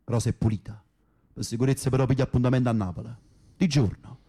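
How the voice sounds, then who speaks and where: A man playing a dark-voiced Neapolitan gangster. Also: medium pitch, steady tone, fast, breathy edge, natural voice. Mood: urgent instructing. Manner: blunt, commanding, colloquial. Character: tough, streetwise Camorra foot soldier in prison. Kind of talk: naturalistic radio drama acting.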